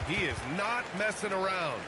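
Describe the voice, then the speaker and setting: A man playing an excitable basketball play-by-play announcer in a video game broadcast, calling plays in clipped, punchy phrases. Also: high-pitched, moderate pace, heavy background noise. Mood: brisk, excited. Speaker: play-by-play commentator.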